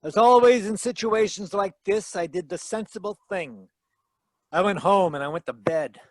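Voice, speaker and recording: A wisecracking, tough detective played by a man, pitched high for a gumshoe. The delivery is dry and wry, in the manner of 1940s radio drama. The sound is close and free of room echo, taken from a live video call. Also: moderate pace, natural voice. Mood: wry narration. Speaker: actor narrating and playing lead detective.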